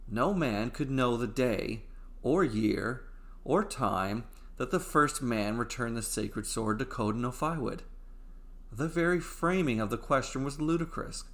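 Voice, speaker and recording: A man narrating a scripted story in a dramatic, deliberate way, with pauses between phrases. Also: medium pitch, fairly bright tone.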